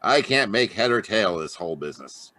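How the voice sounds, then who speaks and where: A gruff puzzled police inspector. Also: male voice, lowish voice, sing-song, fairly quick, pushed and bright, no echo. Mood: exasperated. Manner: blunt, exasperated. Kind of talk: radio drama reading over video call.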